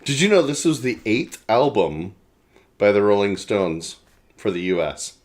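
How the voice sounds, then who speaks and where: A man's voice: lowish voice, sing-song, unhurried, darkish tone. A man from the American Midwest chatting casually about an album, teasing his friend and sharing trivia he looked up. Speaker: podcast co-host.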